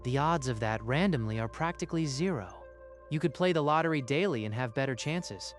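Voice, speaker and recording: A man's voice: medium pitch, lively intonation, a little fast, lightly acted. A skeptical, practical friend acted by a man in a manga dub, with a fairly light male voice. He talks in a conversational, questioning way, with music underneath. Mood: alert, advising. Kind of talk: scripted manga dub acting.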